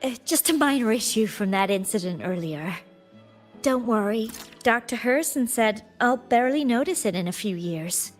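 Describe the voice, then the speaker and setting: An actress playing a kind young graduate student in a video game, gently teasing and earnest, with slight hesitation. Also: female voice, fairly high pitch, expressive, moderate pace, faint music behind. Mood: wistful, reassuring. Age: young adult.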